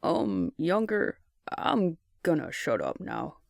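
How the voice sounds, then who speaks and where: Guy playing a good-humored fortysomething survivor, very creaky voice, kinda awkward and gentle, audiobook, dry sound. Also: on the high side, wildly swooping pitch, slow, no echo, full character voice. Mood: flustered.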